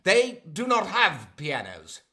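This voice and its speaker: A man playing an excitable, playful Victorian gentleman in a period radio play, with a breathy, husky voice. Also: high-pitched, takes it slowly, full character voice. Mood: playful enthusiasm.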